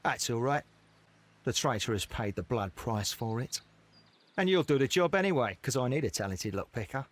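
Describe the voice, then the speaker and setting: Curt, menacing gang boss. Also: male voice, medium pitch, big pitch swings, normal speed. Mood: gruffly businesslike. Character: suspicious, bossy male criminal gang leader. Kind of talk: scripted video game acting.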